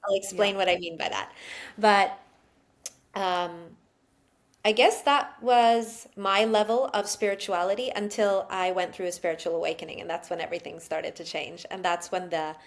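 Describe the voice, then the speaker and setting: A woman's voice: on the low side, fairly even intonation, moderate pace. Chatty woman guest, laughing often. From Sweden. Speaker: guest, psychic channel. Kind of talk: casual interview chat.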